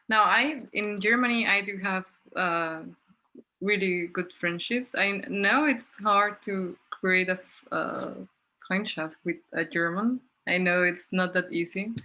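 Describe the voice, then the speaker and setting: Young woman with a bright, forceful voice. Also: medium pitch, poor audio. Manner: informal, peppered with "like". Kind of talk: casual personal conversation.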